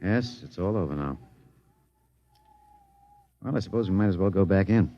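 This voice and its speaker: Weary survivor, muffled old radio. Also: male voice, sits low, lively intonation, moderate pace. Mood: quiet relief. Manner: hesitant, earnest, emphatic when pleading. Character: weary, brave widowed man, among humanity's last survivors. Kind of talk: 1950s radio drama acting. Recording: dry, dull vintage radio recording.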